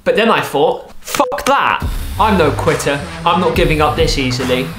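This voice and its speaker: A young man narrating a personal story in a vlog, lively and wry, with playful asides. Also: on the high side, normal speed, reverberant, over music. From England. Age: young adult. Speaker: vlogger. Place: small room with a desk lamp, indoors.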